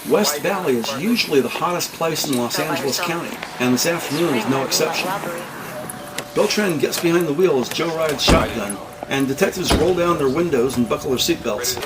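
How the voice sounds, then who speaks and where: A man delivering deliberate, dramatic scripted narration for a police procedural. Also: fairly high pitch, expressive, distant mic, over a noisy crowd, low-quality recording.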